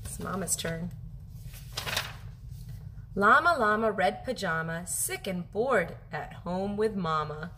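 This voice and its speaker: A woman in her thirties reading a children's picture book aloud as a teacher, animated and expressive, drawing out her phrases for young listeners. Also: mid-range voice, slow pace, long pauses, a little hiss or hum. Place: home kitchen, indoors.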